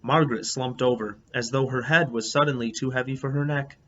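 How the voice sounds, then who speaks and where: A man reading a children's book aloud in an animated, expressive way, as a teacher would. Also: medium pitch, fairly quick. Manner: expressive, acts out dialogue. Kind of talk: animated storybook read-aloud. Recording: dull lo-fi, clear background noise.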